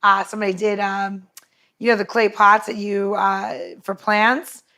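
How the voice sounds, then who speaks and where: A woman's voice: mid-range voice, little pitch movement, a little slow, bright, forceful tone. A woman podcast co-host casually walking listeners through making a cocktail, with a chatty, loose delivery full of fillers.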